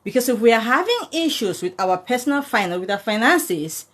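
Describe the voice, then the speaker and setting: A woman's voice: a little high, big pitch swings, a little fast. Chatty woman from Cameroon. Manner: warm, chatty, thanks viewers by name, many fillers. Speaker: personal finance content creator. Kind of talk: casual livestream talk to viewers.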